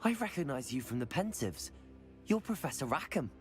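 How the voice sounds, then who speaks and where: An actor playing a polite, determined teenage student wizard in a video game, with an earnest manner. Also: male voice, medium pitch, sing-song, normal speed, faint music behind, natural voice. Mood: respectful. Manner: courteous, earnest. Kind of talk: video game character acting.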